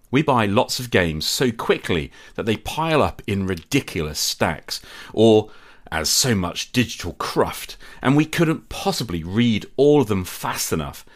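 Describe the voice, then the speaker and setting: A middle-aged man hosting a solo podcast, reflective and candid with a wry, self-deprecating humour. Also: fairly low pitch. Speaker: podcast host.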